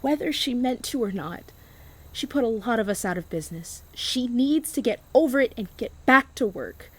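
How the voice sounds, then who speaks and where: A woman plays a street fruit seller in a radio play with a somewhat high voice. The vendor is blunt and aggrieved, complaining plainly to customers. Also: animated, normal speed, slightly rough, poor audio.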